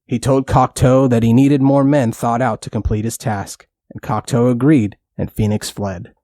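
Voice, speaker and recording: A man's voice: mid-range voice, steady tone, normal speed, warm tone, no echo, studio quality. A man narrating a scripted bedtime story for children in plain, simple storytelling language.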